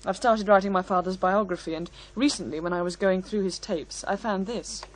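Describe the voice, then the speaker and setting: A woman's voice: mid-range voice, fairly quick, slight background noise, natural voice, poor audio. Actress as pointed, scornful, composed woman. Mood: firm, explaining. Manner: pointed, articulate, firm. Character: bitter, composed, scornful daughter correcting the record. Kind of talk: radio drama acting.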